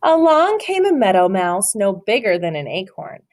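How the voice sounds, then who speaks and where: A woman reading a picture book aloud to a child, stopping to ask questions, gentle and encouraging with dramatic storytelling. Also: medium pitch, very animated, moderate pace.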